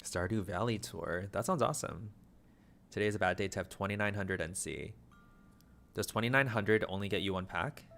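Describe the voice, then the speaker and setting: Chatty low-voiced young man streaming. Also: a little fast, creaky. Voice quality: flat, low-energy delivery. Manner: chatty, self-deprecating, trailing into asides. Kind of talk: casual livestream chat.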